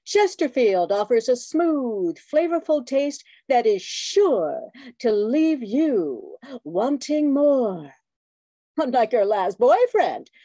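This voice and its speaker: A woman's voice: sits high, dark tone, no echo, heavily acted. A woman playing a dramatic, salesy cigarette announcer with a bitter streak in an old-time radio commercial. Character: smooth cigarette ad announcer woman, wistfully bitter about exes. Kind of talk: 1940s radio commercial acting.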